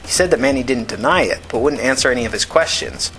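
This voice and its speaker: A man narrates a scripted novel for a podcast. His voice is mid-pitched, and his delivery is measured and matter-of-fact in audiobook style. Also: fairly bright tone, soft background music.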